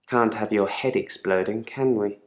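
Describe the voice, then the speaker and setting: An actor playing a controlled, quietly menacing therapy patient in a game drama, speaking in a measured, deliberate and politely probing way. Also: male voice, on the low side, reverberant, natural voice. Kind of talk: live-action game drama monologue.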